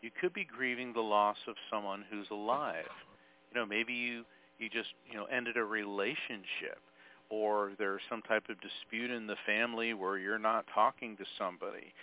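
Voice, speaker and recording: A man talking as a guest on an interview podcast, earnest and emphatic, easing quieter when speaking about grief. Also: fairly low pitch, steady tone, normal speed, slight background noise. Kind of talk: interview conversation. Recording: tinny phone-like line.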